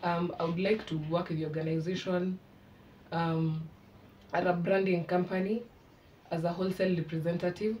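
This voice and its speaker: A woman's voice: on the low side, steady tone, normal speed, darkish tone, far from the mic, slight background noise. A young woman from Kenya, a fresh graduate, earnestly introducing herself with a few ums in a self-recorded video. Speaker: job applicant. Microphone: phone at arm's length.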